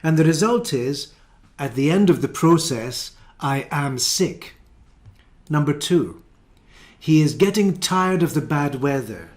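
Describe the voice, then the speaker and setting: Slow, careful male English teacher. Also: on the high side, expressive, darkish tone. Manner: careful, repeats and rephrases each example. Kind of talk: slow explanatory grammar lesson.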